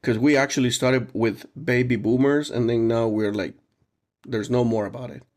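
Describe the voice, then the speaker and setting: A man's voice: medium pitch, steady tone, moderate pace, a little mellow. A man hosting a casual teaching podcast, enthusiastic and jokey, laughing often as he introduces guests and asks questions. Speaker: podcast host.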